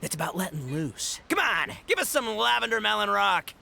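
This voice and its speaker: A man voicing a brash, bombastic young gang boss and rock-obsessed goofball in a video game, excitable and slangy. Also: sits high, fairly quick, gravelly, noisy background, exaggerated voice. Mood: boastful. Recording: game stream with music behind.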